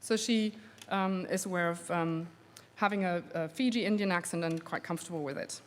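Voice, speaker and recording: A woman researcher giving an academic conference lecture, measured and steady, with frequent um fillers. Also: on the low side. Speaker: linguistics researcher giving a plenary talk. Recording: long hall reverb.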